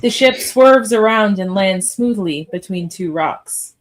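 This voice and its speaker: A woman's voice: medium pitch, animated, unhurried. Woman narrating screenplay stage directions. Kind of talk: scripted narration in a live script read.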